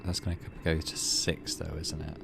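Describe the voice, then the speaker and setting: A man's voice: very deep voice, little pitch movement, moderate pace, creaky, over music. A man hosting a gaming video, mixing casual commentary with reading the game's narration aloud. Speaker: gaming channel host.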